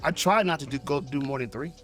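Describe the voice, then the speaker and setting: A man in his thirties with a fairly high voice banters on a podcast panel. He is from New Jersey and talks in a teasing, cocky way over faint music. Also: fast, fairly bright tone. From New Jersey, United States. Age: 30s. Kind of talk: casual banter.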